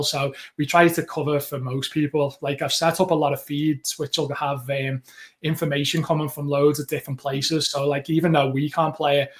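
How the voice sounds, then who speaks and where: A man with a Liverpool accent, co-hosting a podcast in relaxed, rambling chat. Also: fairly high pitch. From England. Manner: chatty, rambling with frequent restarts. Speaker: podcast co-host.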